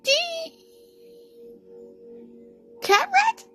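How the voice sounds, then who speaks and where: Cartoon girl cat for audio play, woman performer: very high, earnest, easily flustered, swooping pitch. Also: big pitch swings, extremely slow, bright, forceful tone, soft background music, full character voice. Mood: startled. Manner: hesitant, earnest.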